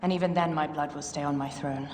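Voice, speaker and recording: A woman's voice: low-pitched, fairly even intonation, quick pace, a little mellow, natural voice. An actress playing a proud, defiant queen of ancient Egypt in a dramatic video game cutscene. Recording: echoey hall reverb, faint background noise.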